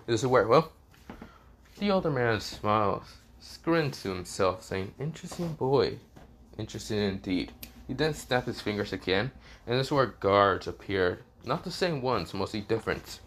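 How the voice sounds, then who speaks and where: A man who is sick and coughing, telling a fan fiction story in a rambling, halting way, often restarting with "this is where, well". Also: fairly low pitch, animated, a bit bright, a little hiss or hum.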